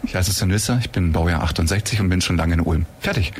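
A man's voice: low-pitched, fast talker, husky. A man in his fifties, an actor at a youth theater, chatting in a talkative, joking, rambling way. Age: 50s. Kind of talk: casual radio chat.

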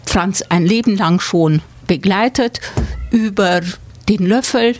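A woman's voice: medium pitch, takes it slowly, slight background noise. A woman from Romania, a guest in a casual interview, speaking hesitantly with many fillers. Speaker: guest, exhibition contributor.

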